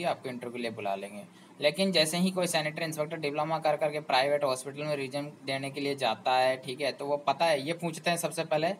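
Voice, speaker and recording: A man's voice: fairly high pitch, a little hiss or hum, rough recording. A man hosting a job information channel, explaining to students persuasively and often asking them rhetorical questions.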